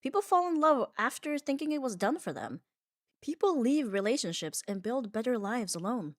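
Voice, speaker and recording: A woman giving a calm, reassuring ASMR comfort monologue in an unhurried, soothing way. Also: fairly high pitch, dry recording, clean studio sound. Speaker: ASMR audio creator.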